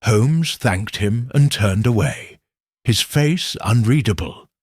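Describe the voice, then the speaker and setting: A man narrating an audiobook in a slow, deliberate storytelling manner. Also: lowish voice, animated, darkish tone, slightly rough, no echo, clean studio sound. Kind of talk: scripted narration.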